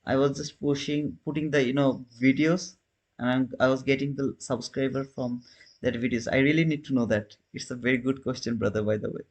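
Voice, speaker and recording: A man's voice: mid-range voice, steady tone, brisk. A man in his thirties casually hosting a trading livestream, chatting with viewers and answering their comments with loose, restarting phrasing. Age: 30s. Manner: non-native phrasing, frequent restarts. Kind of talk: casual live-stream commentary.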